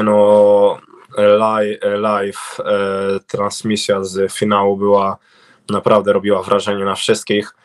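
A young man answering interview questions over a video call from a room at home, hesitant with many fillers and restarts. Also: on the low side. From Poland. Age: young adult. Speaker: interviewed speedway cycling rider. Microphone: video call webcam.

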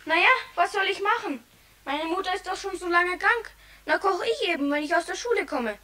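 A woman's voice: very high voice, expressive, a little fast, pushed and bright, recorded from a distance, heavily acted. A performer heard as a woman, playing an earnest, dutiful young boy in a 1960s German children's radio play. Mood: matter-of-fact. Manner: plain-spoken, dutiful. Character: earnest, responsible, hard-working young boy.

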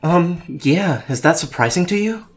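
A woman's voice: lowish voice, lively intonation, moderate pace, faint music behind, lightly acted. A woman voicing a sweet, dorky teenage boy in a comic dub, teasing and earnest. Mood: concerned. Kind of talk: animated-style comic dub acting.

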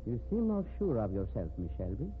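An actor playing a formal, methodical police detective in a 1950s radio mystery, speaking with measured, precise courtesy. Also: male voice, fairly low pitch, wildly swooping pitch, faint music behind. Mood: polite, assured. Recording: tinny, noisy 1950s radio recording.

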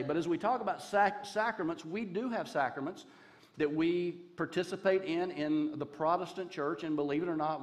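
A man in his fifties from Charlotte with a Southern accent, a guest pastor giving a conversational sermon on a church stage with folksy jokes and asides, speaking into a headset mic. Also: on the high side. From Charlotte, North Carolina. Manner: folksy, joking asides, gestures while pacing the stage. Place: large dark church stage.

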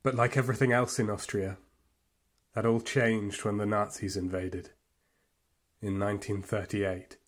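A man's voice: on the low side, little pitch movement. An actor playing a nostalgic, proud and wry Austrian football fan, telling his story theatrically in an audio play. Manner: theatrical, emphatic, with weighty pauses. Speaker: narrator. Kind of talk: dramatic first-person storytelling in an audio play. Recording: music and crowd noise behind.

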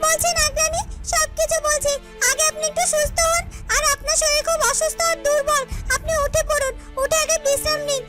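A performer voicing a devoted, excitable young female serpent attendant in a fairytale cartoon, eager and repetitive. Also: very high-pitched, a little fast, hardly any pauses. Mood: caring. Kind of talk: Bengali fairytale cartoon voice acting. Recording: loud music under the voice.

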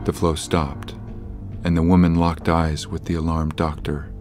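A man's voice: rumbling low, flat delivery, unhurried, darkish tone. A man narrating a scripted horror story as the narrator of an audio drama. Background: music.

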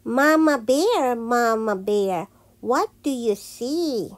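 A woman reads a picture book aloud for young children. Her voice sits fairly high, and she takes it extremely slowly. The phrasing is singsong and repetitive, with each word carefully set out as a teacher would. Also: expressive, heavy background noise. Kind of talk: children's read-aloud storytelling.

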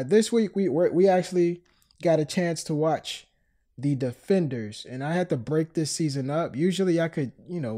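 Man, podcast host, relaxed tone, fairly high pitch, stuffy nose, conversational delivery. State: stuffy from a cold. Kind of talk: casual podcast chat.

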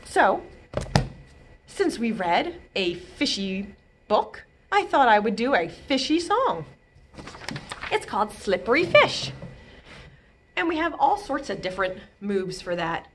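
A middle-aged woman with a fairly high, very lively voice leads a storytime for young children. She is expressive and speaks directly to her little listeners. There is some noise behind her in the room. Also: slow pace, pauses often. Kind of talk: children's read-aloud and storytime hosting. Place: indoors, small library children's room. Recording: noticeable background room noise.

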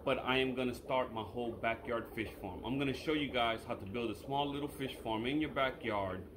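A man in his thirties casually explaining a project to his viewers in a relaxed, chatty way, filmed by a camera set a little away from him in a backyard. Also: mid-range voice, fairly quick, noisy background. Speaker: fish breeder vlogger. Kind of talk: casual how-to vlog. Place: outdoors in a backyard. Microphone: far camera on a tripod.

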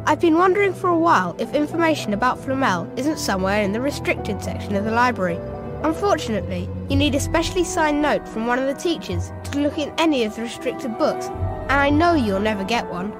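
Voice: sits high, lively intonation, a little fast, over music. A performer playing an earnest, curious young schoolboy wizard in a video game. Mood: earnest, reading aloud.

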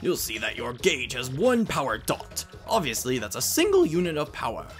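Man as old professor creature, excitable, pedantic, theatrical, lively intonation, soft music behind. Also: mid-range voice, normal speed. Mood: pedantic explaining. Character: elderly, scholarly, excitable goomba professor.

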